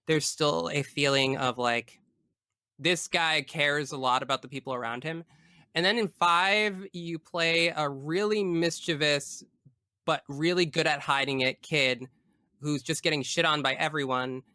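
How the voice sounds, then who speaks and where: A man's voice: a little high, a little slow, sharp tone, smooth, no echo, clean studio sound. A man in a casual podcast discussion as the guest, explaining his views earnestly and analytically at length.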